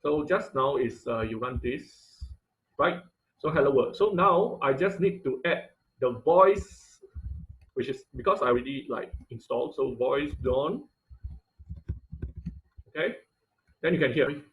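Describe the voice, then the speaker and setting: A man's voice: mid-range voice, lively intonation, a little slow, pauses often, fairly bright tone, low-quality recording. A man teaching a live online coding tutorial as instructor, often stopping and rephrasing mid-sentence. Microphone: computer mic on an online class call.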